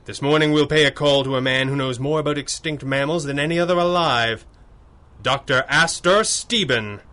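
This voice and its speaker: A bright, forceful male voice as a masked detective hero of 1930s radio drama. He is composed and commanding, speaking in measured, formal phrases with real authority. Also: a little high. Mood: calm, resolute.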